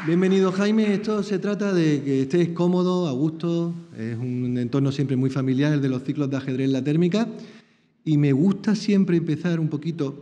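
A man's voice: fairly high pitch, normal speed, mellow, a little hiss or hum. A middle-aged man hosting a live chess talk in a small lecture hall, speaking into a table mic, chatty, with many tag questions and repeated words. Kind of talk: live public interview. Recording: dull reverberant hall sound.

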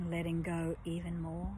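A woman yoga teacher leads a guided relaxation, her voice soft, breathy and soothing, sometimes close to a whisper. She speaks very slowly, leaving long pauses, in a slightly low register. A faint background hiss sits under her voice.

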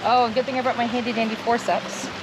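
A woman's voice: a little high, level tone. A middle-aged woman with a Canadian accent presenting fishing tips in casual, unscripted, explanatory chat outdoors. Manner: conversational, explanatory. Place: outdoors on a wooded creek bank. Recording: outdoor recording with clear rushing stream noise.

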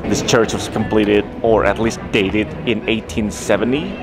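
A man's voice: on the low side, fairly even intonation, moderate pace, vocal fry, over music. A young man hosting a casual travel vlog outdoors, talking enthusiastically about what he sees. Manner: enthusiastic, marvelling. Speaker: travel vlogger host. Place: outdoors at a mountain monastery.

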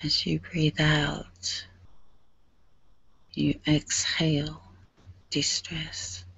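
A woman leading a guided meditation in a soothing, hushed and breathy manner, leaving long pauses. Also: deep voice, completely flat delivery, dry recording, in a whisper, highs cut off.

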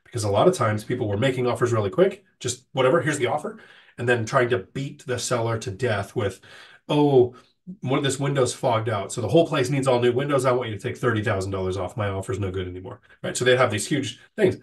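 A man's voice: mid-range voice, fast talker, recorded from a distance. A middle-aged man with a sick, nasal voice, casually answering live chat questions as host, with frequent ums and restarts.

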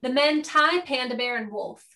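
Bright-voiced woman narrating a play. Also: sits high, normal speed. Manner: plain, declarative. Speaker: stage directions narrator.

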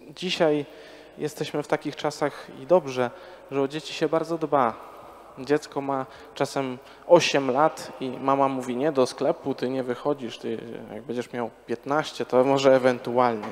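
A priest in his thirties gives a casual, conversational sermon and asks the congregation rhetorical questions. He speaks into a handheld mic, and his mid-pitched voice trails off into the long reverb of a large church. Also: steady tone, unhurried, a little hiss or hum. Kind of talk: parish sermon. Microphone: handheld microphone.

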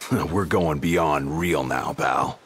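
A man playing a gruff, blunt, tough bodyguard in a video game, talking colloquially. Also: very deep voice, drawn-out words, fairly bright tone, heavily acted. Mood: wry. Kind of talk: video game cutscene acting.

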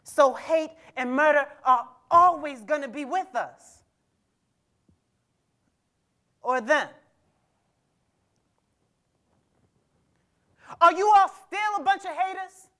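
A man's voice: very high-pitched, expressive, moderate pace, sharp tone, heavily acted. A young man playing a skeptical, aggrieved teenage boy in a dramatic stage reading, with colloquial, pointed, questioning delivery. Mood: challenging. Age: young adult. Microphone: lapel mic on a stage.

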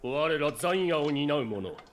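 A menacing, zealous knight declaims with contempt in a game drama. His fairly high voice swings widely in pitch. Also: lively intonation, slow, a little hiss or hum. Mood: fanatical. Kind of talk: Japanese video game villain acting.

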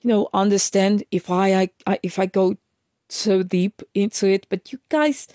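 A woman's voice: medium pitch, fairly even intonation, a little slow, warm tone, dry recording, clean studio sound. A woman from Argentina, talking in a casual, emphatic vlog rant, lecturing her viewers with many restarts and fillers. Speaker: vlogger. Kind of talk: casual ranting monologue.

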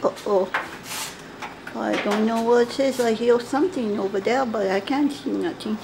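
A woman's voice: a little high, normal speed, creaky, noisy background. Woman voicing a scared pleading pet dog. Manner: childlike, whiny, pleading baby talk. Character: anxious young male dog, scared and pleading. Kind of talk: pet voice-over monologue.

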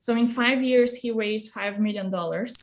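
A woman's voice: on the high side, moderate pace. A woman from Brazil with a Brazilian accent, a native Portuguese speaker, talking conversationally as an interview guest on a video call. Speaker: interview guest, music educator. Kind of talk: conversational personal storytelling. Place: small indoor room, video call. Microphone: computer mic on video call.

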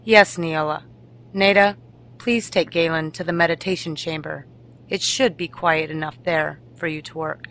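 A woman playing a grave, commanding noble lady in a fantasy audio drama, speaking with measured solemnity. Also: sits low, fairly even intonation, a bit bright, poor audio.

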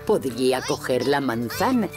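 A woman's voice: rumbling low, very animated, measured pace, music in the background. A woman with a Castilian Spanish accent narrating a children's story.

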